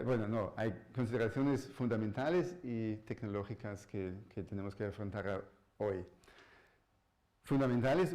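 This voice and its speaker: A man, not a native speaker of the language, giving a public physics lecture as a professor, halting and searching for words with frequent restarts. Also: mid-range voice, warm tone. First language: not Spanish. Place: university lecture hall.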